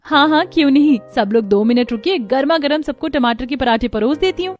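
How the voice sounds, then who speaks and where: A woman's voice: high-pitched, lively intonation, fairly quick, faint music behind. A woman playing a cheerful, eager young daughter-in-law in an acted family story.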